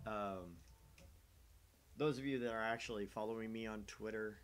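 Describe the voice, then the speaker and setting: A man's voice: on the low side, fairly even intonation, moderate pace. A man hosting a small gaming channel's livestream, rambling casually and conversationally, with a self-deprecating way of talking.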